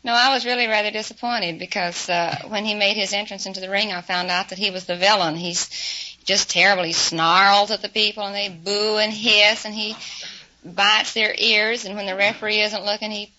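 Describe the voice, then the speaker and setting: A woman's voice: medium pitch, steady tone, normal speed, sharp tone, slight background noise. An American woman chatting as a contestant on an old radio quiz show, with a muffled vintage sound. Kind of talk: conversational answers to a host.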